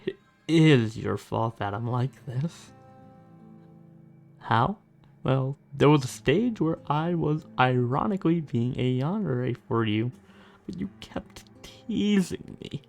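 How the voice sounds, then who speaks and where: Man acting a slow-talking, obsessive lovestruck stalker. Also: mid-range voice, a little mellow. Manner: slow, intimate, teasing, dropping into whispers. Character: obsessive, gentle but menacing lovestruck male stalker fan. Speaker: amateur voice actor. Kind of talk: ASMR roleplay monologue.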